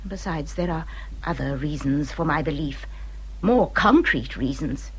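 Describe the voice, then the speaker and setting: An actress playing an injured, bitter English widow in a period radio drama, speaking in a formal, emphatic and dramatic way. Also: female voice, deep voice, lively intonation, unhurried, slight background noise. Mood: insistent. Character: bitter, injured widow warning a younger friend.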